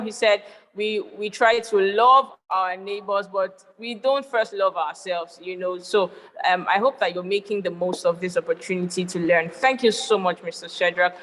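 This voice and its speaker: A woman's voice: medium pitch, fairly bright tone. A woman hosting a talk show, with a mid-range voice. She heaps praise on her guest in an effusive way. Her voice carries a long reverb, as if she is in a hall. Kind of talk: hosting an interview.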